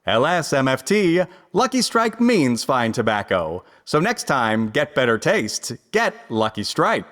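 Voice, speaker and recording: An actor playing a jovial, polished radio announcer, reading ads and introductions in 1950s style. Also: male voice, medium pitch, sing-song, unhurried, fairly bright tone, big-room sound, faint music behind. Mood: upbeat selling.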